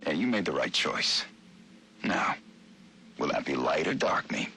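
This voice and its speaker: An actor playing a crooked, coaxing street cop in a video game, talking in a slick, casual, streetwise way. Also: male voice, extremely low pitch, sing-song, measured pace, pushed and bright, a little hiss or hum, exaggerated voice. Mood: slick, reassuring. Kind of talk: video game voice acting, audio log.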